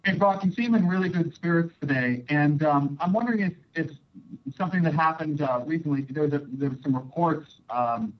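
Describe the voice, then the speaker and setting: A man's voice: on the high side, fairly quick. A man working as a sports reporter, asking casual, hesitant and rambling questions over a conference call. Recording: dull lo-fi conference call line.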